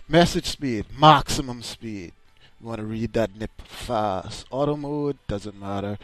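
A man's voice: medium pitch, no room sound. A man streaming a game, reading its text aloud with crude, mocking jokes, his accent a mix of British, Caribbean and American. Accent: mixed British, Caribbean and American.

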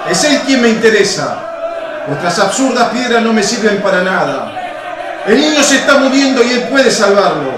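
Man acting as a raging, urgent Roman soldier for a radio play, higher voice, echoey room. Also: big pitch swings, normal speed, crowd noise, noisy background. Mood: furious. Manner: shouting, insulting, urgent. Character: angry Roman soldier, rough and desperate. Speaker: Alejandro.

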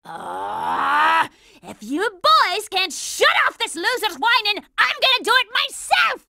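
A woman's voice: very high voice, sing-song, slow, vocal fry, pushed and bright, no room sound, clean studio sound, heavily acted. A woman voicing a bossy, hot-tempered young girl soldier in a cartoon video game, brash, scolding and sarcastic. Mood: exasperated.

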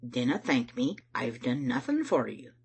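Woman acting stern husky Scottish church elder, male. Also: extremely low pitch, animated, unhurried, exaggerated voice. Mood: gruff, angry. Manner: emphatic, dramatic storytelling in broad dialect. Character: stern, guilt-ridden male church elder, proud and stubborn.

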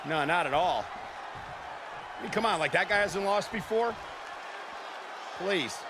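High-pitched excitable male wrestling announcer. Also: a little slow. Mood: indignant. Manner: dramatic, emphatic exclamations. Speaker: play-by-play wrestling commentator. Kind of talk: excited sports commentary in a video game. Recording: loud arena crowd noise. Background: roaring crowd and arena ambience.

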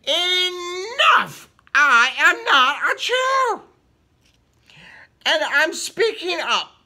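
Man playing a picture-book giraffe, anxious, indignant, raised pitch, strained, emphatic, sharp tone. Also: very animated, very slow, heavily acted. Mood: fed up. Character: exasperated, anxious young male giraffe mistaken for furniture. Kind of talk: children's picture book read aloud.

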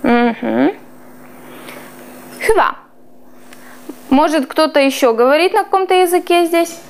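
A woman in her thirties teaching a language class in a small classroom, slowly and clearly drawing out foreign words. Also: high-pitched, lively intonation. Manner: slow, clearly drawn-out pronunciation of foreign words.

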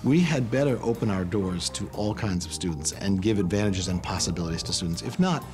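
A man's voice: low voice, animated, a little fast, music in the background. An older man connected with the university speaking persuasively in a documentary interview. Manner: persuasive, questioning.

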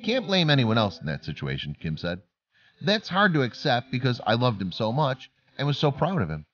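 A man's voice: medium pitch, fairly bright tone, lo-fi sound. A man narrating a scripted documentary-style story as a voice-over.

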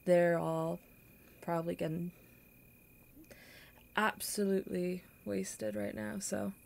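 A young woman playing a kind, awkward popular girl in an audio roleplay, with halting, stammering, flustered delivery. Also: lowish voice, steady tone, gravelly, darkish tone, exaggerated voice. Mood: shy, caring. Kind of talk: intimate audio roleplay acting.

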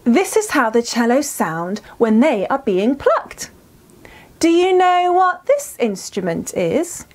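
High, animated female children's storyteller. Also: slow pace, a little hiss or hum. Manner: enunciated, encouraging, asks listeners questions. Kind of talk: scripted children's storytelling and teaching.

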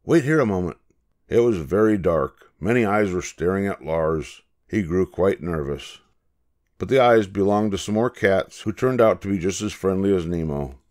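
Deep-voiced older man reading a storybook. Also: lively intonation, moderate pace, a little mellow. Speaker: storybook reader. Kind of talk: scripted narration of a children's picture book.

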